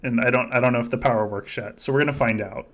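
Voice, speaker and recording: A man's voice: mid-range voice, fairly even intonation, fast talker, fairly bright tone. Joking, sweary man hosting a podcast. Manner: joking, sweary, laughs often. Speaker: podcast host. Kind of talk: casual chat running a simulated reality-show game.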